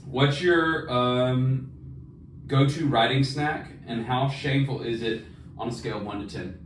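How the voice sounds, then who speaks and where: Male podcast co-host, mid-pitched, informal and self-mocking, recorded in a roomy space away from the mic. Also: a little slow. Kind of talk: casual podcast chat. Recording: roomy, distant mic, faint noise.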